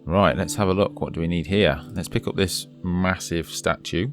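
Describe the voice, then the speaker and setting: Deep-voiced gamer, voice going hoarse. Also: male voice, normal speed, faint music behind. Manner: chatty, urging the character on. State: losing his voice. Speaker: let's play host. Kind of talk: casual gameplay commentary.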